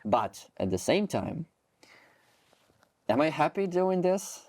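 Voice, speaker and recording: A man's voice: a little high, big pitch swings, takes it slowly, darkish tone, dry recording. A Ukrainian soldier in his twenties speaking English with a Ukrainian accent, rambling with lots of "like" and restarts as an interview guest. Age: 20s. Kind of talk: interview conversation.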